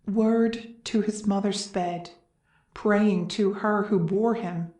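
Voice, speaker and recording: A solemn chorus member of Greek tragedy played by a woman declaims verse slowly and earnestly. An anxious weight hangs on the words, and the room echoes. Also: mid-range voice, steady tone, darkish tone, natural voice. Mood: mournful, pleading. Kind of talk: classical verse drama reading.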